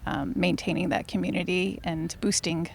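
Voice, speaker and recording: Low-voiced woman with creaky fry. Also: little pitch movement, normal speed, a little hiss or hum. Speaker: interviewer, radio host. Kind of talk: casual interview.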